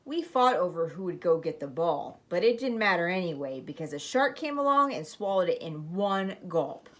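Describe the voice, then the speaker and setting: A woman storyteller reading a children's picture book aloud with expressive, emphatic phrasing. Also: fairly low pitch, moderate pace.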